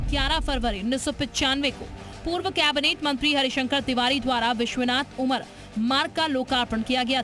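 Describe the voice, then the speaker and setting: Female news narrator, bright forceful tone, dramatic scripted read with music underneath. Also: high voice. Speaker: news presenter.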